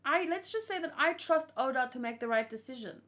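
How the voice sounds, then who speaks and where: Higher-pitched woman, musing casually. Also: expressive, brisk, fairly bright tone. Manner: musing, thinking aloud, asking questions. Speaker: manga reaction host. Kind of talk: casual unscripted chat.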